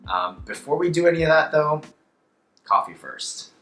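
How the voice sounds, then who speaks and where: A young man with a hoarse, slightly raspy voice, an upbeat yoga teacher chatting playfully in a casual vlog. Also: fairly high pitch, big pitch swings, normal speed, bright, forceful tone, reverberant, faint music behind. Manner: upbeat, playful, self-mocking.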